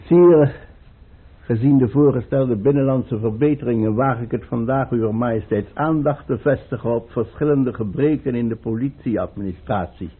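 An actor playing a deferential, weary imperial chancellor with formal, careful speech in a radio play. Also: male voice, mid-range voice, normal speed, breathy edge, natural voice. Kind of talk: Dutch radio play acting. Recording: tinny, muffled old radio recording.

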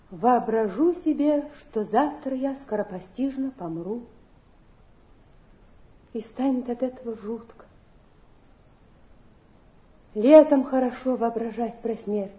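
A woman's voice: on the high side, mellow, dull audio. An actress playing a fragile, desperate young woman clinging to her dreams, in a plaintive theatrical stage manner. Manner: plaintive, dramatic stage delivery.

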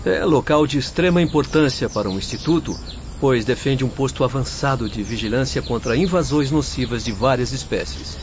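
A man's voice: medium pitch, normal speed, natural voice. Actor as formal male doctor, birdsong behind. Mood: earnest. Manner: formal, explanatory. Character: earnest, courteous male doctor guiding visitors. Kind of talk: radio drama acting. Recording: dull lo-fi, outdoor birdsong noise.

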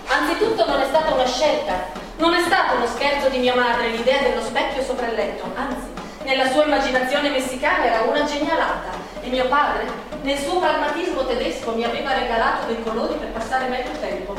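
Stage role: actress as pained, defiant woman painter, impassioned delivery, high voice, distant echoing hall. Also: close to an everyday voice. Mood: bitter, wry. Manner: impassioned, dramatic delivery with drawn-out words. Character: Frida Kahlo, pained, defiant woman painter of forty-seven. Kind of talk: theatrical monologue with music. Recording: echoey hall from far off, music behind.

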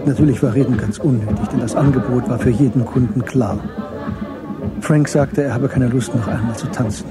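A man narrating a German literary radio play in a measured, sober storytelling manner. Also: mid-range voice, over a noisy crowd, lightly acted. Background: music.

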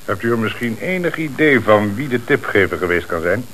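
An old radio drama with faint hiss. a police commissioner with a lowish voice. He questions people in a methodical, measured, probing way. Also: animated, a bit bright. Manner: inquisitive, measured. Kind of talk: radio play acting. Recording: hissy old radio play recording.

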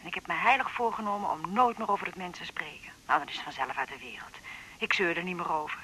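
A middle-aged mother in a 1980s radio drama, fretful and full of guilt. Her voice is fairly low with a sharp, bright edge. There is faint noise behind it. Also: fast, poor audio. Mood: guilty, fretful. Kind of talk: naturalistic 1980s Dutch radio drama acting.

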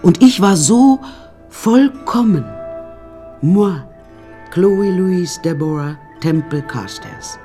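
A woman's voice: lowish voice, expressive, slow, warm tone, faint music behind. An actress playing a vain, self-dramatizing woman artist who pompously narrates her life to listeners in a radio play. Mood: self-admiring.

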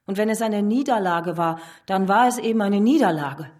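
A woman's voice: medium pitch, moderate pace, a little mellow, close to an everyday voice. An actress playing a stern, accusing female revolutionary in a radio drama, intense in manner. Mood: reproachful. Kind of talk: German radio drama acting.

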